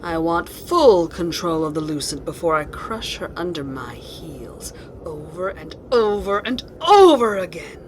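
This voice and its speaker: Woman as an imperious, cruel evil empress, animated delivery. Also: fairly low pitch, measured pace, few pauses, noisy background. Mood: menacing, scheming. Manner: haughty, clipped commands, savoring threats. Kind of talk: fantasy audio drama acting.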